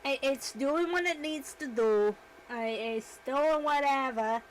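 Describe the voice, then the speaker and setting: A woman's voice: sits high, slow, slight background noise, low-quality recording. A woman streamer chatting casually while she works, rambling and self-deprecating. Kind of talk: casual livestream chat while working.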